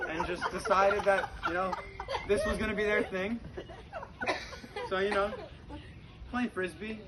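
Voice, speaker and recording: A young man telling stand-up comedy stories to an audience in a conversational, halting way, with pauses. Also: sits high. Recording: very noisy live comedy recording with clicks. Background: audience laughter.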